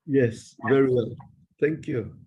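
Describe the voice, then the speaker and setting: A man, a priest, moderating an online session over a video call with calm, unhurried cues. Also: mid-range voice, slightly rough. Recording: dull lo-fi video call audio.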